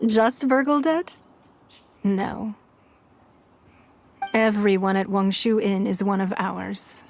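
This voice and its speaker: A woman playing a poised, shrewd and wealthy noblewoman leader in a video game, speaking in formal, measured, eloquent phrases. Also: mid-range voice, fairly even intonation, noisy background. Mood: composed, proud. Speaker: game character voice actor. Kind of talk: scripted game cutscene dialogue.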